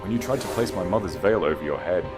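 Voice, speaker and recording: A man voicing a calm, commanding young queen, measured and coldly unyielding, in an audiobook fantasy romance. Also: deep voice, music in the background. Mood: unyielding authority.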